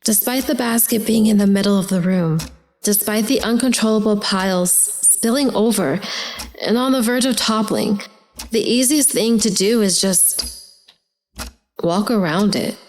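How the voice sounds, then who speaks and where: A young woman playing a troubled, introspective American teenage daughter, narrating her inner thoughts in a measured scripted drama style. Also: mid-range voice, darkish tone, natural voice. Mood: pensive. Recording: clear music bed under voice.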